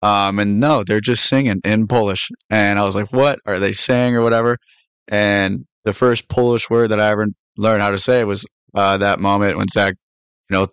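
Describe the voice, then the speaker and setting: A young man co-hosting on radio, telling a story in a relaxed, rambling way full of fillers. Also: on the low side, gravelly, clear, resonant tone, dry recording, clean studio sound. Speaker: radio co-host. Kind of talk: casual storytelling.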